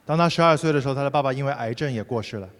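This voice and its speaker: A man interpreting a sermon consecutively, rendering each phrase fluently and briskly. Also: medium pitch. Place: large church hall. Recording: hall reverb.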